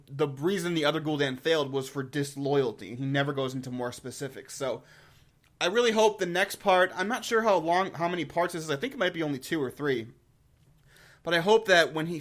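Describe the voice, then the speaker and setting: A man's voice: on the high side, a bit bright. A man giving casual, enthusiastic video commentary as a host, rambling informally and often repeating words as he goes. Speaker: host of a game lore review channel.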